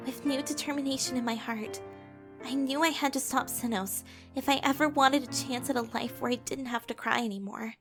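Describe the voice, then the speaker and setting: A woman's voice: high-pitched, fairly even intonation, a little fast, fairly bright tone. A woman playing an earnest, wounded and insecure young woman in a dramatic audio drama, with music behind her. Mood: resolute.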